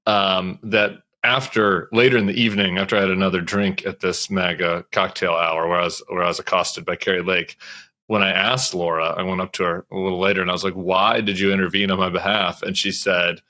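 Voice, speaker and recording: A man's voice: low-pitched, bright, forceful tone. A man co-hosting a casual panel chat, giving his opinions in an emphatic, argumentative way. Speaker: podcast co-host.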